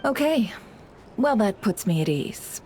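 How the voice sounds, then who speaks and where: A woman playing a shrewd, teasing creditor and intel dealer in a video game, sly and coolly unhurried. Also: mid-range voice, big pitch swings, a little mellow, slight background noise.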